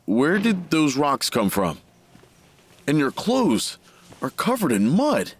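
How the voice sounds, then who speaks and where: A man's voice: fairly high pitch, wildly swooping pitch, slow, a little hiss or hum, heavily acted. A man playing a bewildered, worried father in an audio drama, pleading and urgent. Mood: alarmed, bewildered.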